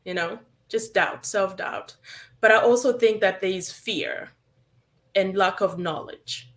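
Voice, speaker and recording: A woman's voice: medium pitch, measured pace. A woman therapist from Uganda, whose first language is Acholi, speaking earnestly and reflectively as an interview guest. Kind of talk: interview answers.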